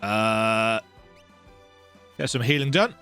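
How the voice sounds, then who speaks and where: A man's voice: on the low side, takes it slowly, pushed and bright, smooth, soft background music. A man hosting a let's play, giving casual, rambling, conversational commentary on a video game.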